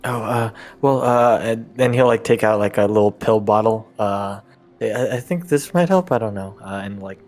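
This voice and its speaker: A man's voice: on the low side, normal speed, a little mellow, soft background music. A man improvising role-play as a player in a tabletop game, with a hesitant, tentative, stammering manner. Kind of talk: improvised role-play, describing and speaking for his character.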